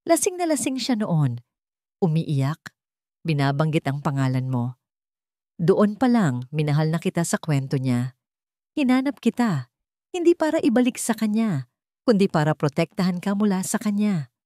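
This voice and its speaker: A woman's voice: fairly low pitch, big pitch swings, moderate pace, pauses often, mellow, dry recording, studio quality. Woman playing earnest, protective Filipino man. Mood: confessing. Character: earnest, protective man, gentle but firm suitor. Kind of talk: storybook character voice.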